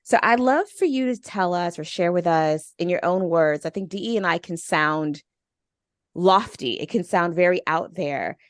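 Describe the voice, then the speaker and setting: A woman's voice: on the low side, normal speed, dry recording, studio quality. A woman hosting a podcast, speaking upbeat and enthusiastic as she introduces the show and asks questions. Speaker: podcast host. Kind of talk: scripted podcast intro and interview.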